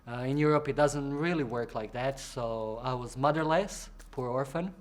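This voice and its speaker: Chatty drag performer from Croatia. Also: male voice, normal speed. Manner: chatty, playful, acts out quoted people in high voices. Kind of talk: casual interview answers.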